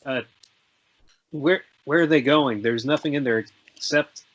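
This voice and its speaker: A man playing an earnest, shaken young countryman, speaking haltingly in an amateur screenplay table read. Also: medium pitch, natural voice. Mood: anxious. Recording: dull lo-fi audio with faint noise.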